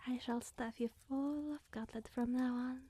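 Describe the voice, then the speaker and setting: A woman playing a lonely, awkward immortal witch in an ASMR roleplay, speaking in a breathy, whispery, hesitant and coy way. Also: high-pitched, fairly even intonation, moderate pace, warm tone, dry recording. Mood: giddy, coy.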